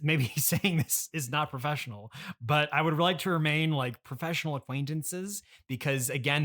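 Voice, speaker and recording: A man's voice: a little high, fairly bright tone. A man hosting an improvised comedy interview as the exasperated straight man, stopping and restarting as he talks. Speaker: podcast host.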